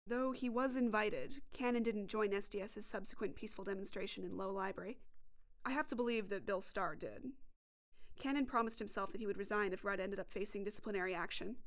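Young woman narrating, medium-pitched. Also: brisk. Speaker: podcast reporter and narrator. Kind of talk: scripted documentary narration.

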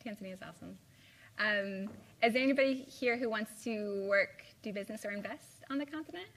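A young woman from the American Midwest speaks to a small university audience in a relaxed, informal way. Her talk is chatty and full of "like" and "um", and her pitch sits in the middle. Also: fairly quick. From Midwest US (Indiana). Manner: chatty, lots of "like" and "um". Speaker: guest speaker. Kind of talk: informal live talk with slides. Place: university lecture room.

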